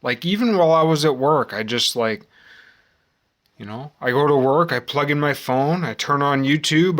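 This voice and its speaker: American man, forties, mid-pitched voice. Rambling vlog monologue, slow and halting, musing, circles back on phrases. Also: fairly bright tone. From United States. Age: 40s. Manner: halting, musing, repeats phrases with long pauses. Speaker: vlogger.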